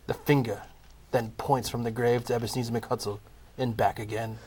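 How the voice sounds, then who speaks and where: A man narrating a scripted comic play as a dramatic storyteller, in measured, deliberate phrases. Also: mid-range voice, level tone, a little mellow, slightly rough.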